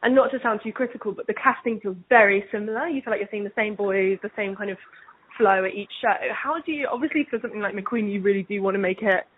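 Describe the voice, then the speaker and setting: A woman's voice: medium pitch, quick pace, a little hiss or hum. A woman interviewer asking chatty, roundabout questions in a conversational interview over a phone line. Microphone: telephone call. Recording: tinny, band-limited phone line.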